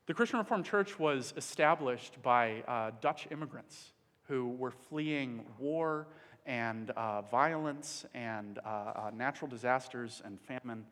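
A man from the Dominican Republic preaching a sermon in an earnest, measured way, pausing with fillers. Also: medium pitch, fairly bright tone. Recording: long hall reverb.

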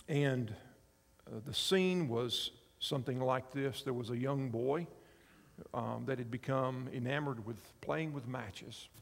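A man's voice: medium pitch, creaky, long reverb tail. A man in his fifties preaching a sermon, telling a story earnestly and drawing the congregation in. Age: 50s. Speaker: pastor and chaplain.

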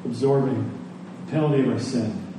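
A man preaching a sermon as a pastor, speaking earnestly and deliberately. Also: medium pitch. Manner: earnest, rhetorical questions, deliberate pauses. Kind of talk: sermon closing in prayer. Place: large echoey room before a congregation. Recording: echoey hall, distant mic, faint noise.

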